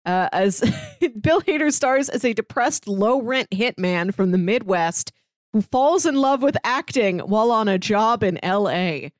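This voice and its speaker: A woman's voice: on the high side, lively intonation, a little slow, no room sound, clean studio sound. A woman co-hosting a podcast in casual, explanatory conversation. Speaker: podcast co-host.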